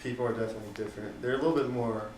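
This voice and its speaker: A young man from the Netherlands answering interview questions casually, pausing often with ums and likes. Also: mid-range voice, steady tone, reverberant, far from the mic, noisy background. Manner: hesitant, many ums and likes.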